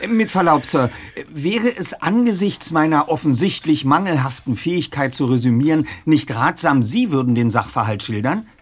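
A man's voice: a little high, expressive, moderate pace, slightly rough. A man playing a precocious, polite and wordy boy detective in a German audio drama, speaking formally and deferentially. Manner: formal, eloquent, deferential with hesitations.

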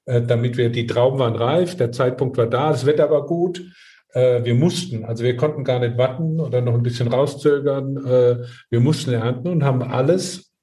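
A man's voice: mid-range voice, a little fast, dark tone. A middle-aged man speaking casually and earnestly as a winemaker, often tagging his points with short questions to seek agreement. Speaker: winemaker, guest. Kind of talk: casual conversation about own work.

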